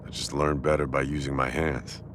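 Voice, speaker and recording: A man playing a warm, teasing restaurant owner with a secret crush in a casual, intimate boyfriend audio roleplay. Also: extremely low pitch, level tone, normal speed, noisy background. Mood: earnest, relaxed.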